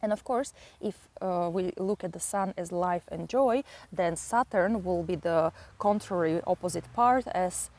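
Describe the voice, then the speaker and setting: A woman with a Bulgarian accent, a native Bulgarian speaker, explaining astrology and mythology with many pauses and fillers. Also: fairly low pitch, a little mellow. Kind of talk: slow explanatory astrology talk.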